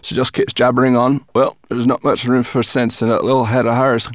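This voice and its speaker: A man voicing a small, sharp-tongued young female brownie creature in a storybook, brash and mocking. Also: mid-range voice, flat delivery, a little fast, voice pushed up, close to an everyday voice. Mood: scornful.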